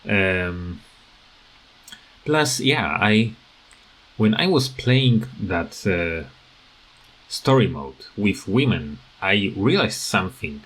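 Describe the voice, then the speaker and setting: A man giving unscripted, rambling commentary as a gaming video creator, halting often as he searches for words. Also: mid-range voice, husky, rough recording.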